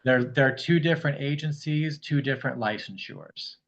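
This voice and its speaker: A man's voice: mid-range voice, moderate pace, fairly bright tone, lo-fi sound. A man giving matter-of-fact, explanatory advice to a caller on a group video call. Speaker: notary and fingerprint roller, co-host.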